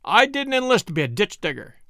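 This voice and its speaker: Man doing a sarcastic, grumbling young cook, high and swinging. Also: very animated, a little fast, bright, forceful tone, dry recording, clean studio sound, heavily acted. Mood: sneering. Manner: snarling, sarcastic. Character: grumbling young male camp cook, sulky and defiant.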